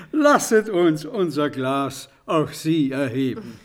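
Slow, high-voiced jovial gentleman. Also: sing-song, crowd noise. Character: jovial, flowery gentleman proposing toasts. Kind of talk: German radio play acting.

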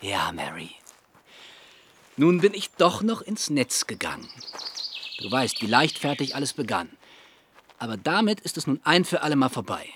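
Actor as an animated, ardent young gentleman. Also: medium pitch, moderate pace, pauses often, background noise, natural voice. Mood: smitten, confiding. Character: charming, self-assured young gentleman suitor in love. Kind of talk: German radio play acting.

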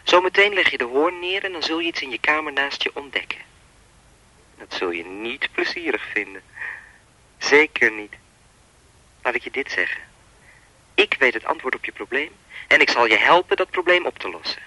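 Bright-voiced, pompous male phone stalker. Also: mid-range voice, big pitch swings, moderate pace, long pauses, exaggerated voice, poor audio. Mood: controlling, menacing. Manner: pompous, wordy, coolly controlling. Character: sinister, persistent male phone stalker, smugly philosophising. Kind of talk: Dutch radio play acting.

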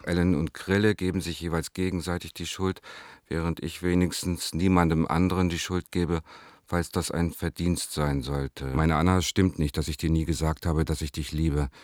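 A tender, brooding lover reading his letter for a radio play. The voice is extremely deep and sits in a dry, close space. The delivery is intimate and deliberate, weighted with melancholy. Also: darkish tone, no echo.

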